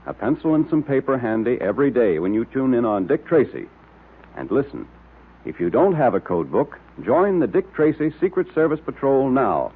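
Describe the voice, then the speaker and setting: A man announcing in an emphatic 1930s radio style, pitching to young listeners, on a tinny old recording. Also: breathy edge.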